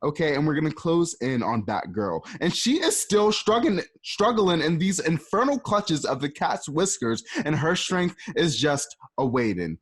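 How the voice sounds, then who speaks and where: A man narrates a radio play's action in a casual way, full of chatty asides. His voice is a bit high and husky, and it swings widely in pitch. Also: very animated, normal speed, few pauses. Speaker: narrator and host. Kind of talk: casual stage-direction narration.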